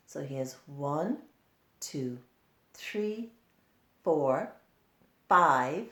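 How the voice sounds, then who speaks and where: A woman's voice: deep voice, animated, drawn-out words, a bit bright. A woman reading and explaining a story for young children in simple, repetitive phrases with questions. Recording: roomy, echoing indoor sound.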